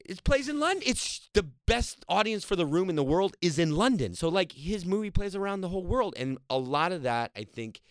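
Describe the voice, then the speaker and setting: A man's voice: high-pitched, brisk, hardly any pauses, dry recording. A man telling stories about making a film, talking casually and rambling with frequent laughs. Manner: rambling, animated storytelling with laughs. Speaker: actor and director. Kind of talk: casual interview talk.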